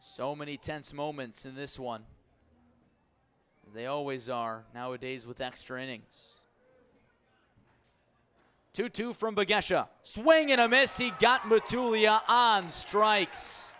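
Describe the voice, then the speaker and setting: A man calling a baseball game live on radio as play-by-play announcer, measured between pitches and lifting his voice on the action. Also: clean tone. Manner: measured narration rising to excited shouts on key plays. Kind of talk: live sports commentary.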